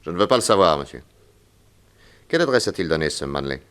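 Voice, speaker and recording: An actor playing a brisk, exacting detective with a clipped, questioning manner in a 1960s French radio serial. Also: male voice, low voice, sing-song, fairly bright tone, clear, resonant tone. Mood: brisk, dry. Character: brisk, exacting male detective, critical and authoritative.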